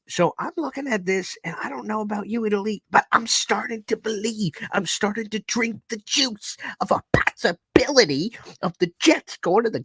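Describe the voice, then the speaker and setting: A man hosting a live sports talk show, walking through standings with growing excitement. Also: high-pitched, slightly rough, no room sound. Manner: excitable, hyped breakdown of standings.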